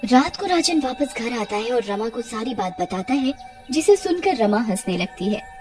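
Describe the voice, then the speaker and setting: High-voiced woman narrating a story. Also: sing-song, normal speed, music in the background. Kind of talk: scripted dramatic story narration.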